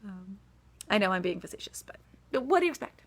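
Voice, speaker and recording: A woman's voice: medium pitch, sing-song, fairly quick, husky. A woman author answering reader questions in a casual, chatty livestream style with emphatic asides.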